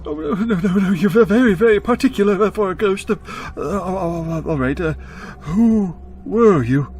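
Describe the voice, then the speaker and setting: An actor in a radio play playing a grumpy, frightened old miser who stammers and blusters haltingly. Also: male voice, high voice, expressive, darkish tone, slight background noise. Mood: alarmed.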